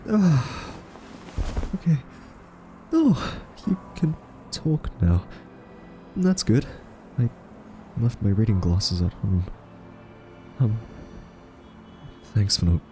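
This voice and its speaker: Man acting a slow, soft-spoken nervous scientist. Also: lowish voice, slight background noise. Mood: shaken, uneasy, then relieved, cautious. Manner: nervous, stammering, hesitant, awkwardly polite. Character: timid, quirky male scientist held captive by a rogue AI. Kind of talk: audio roleplay acting addressed to listener.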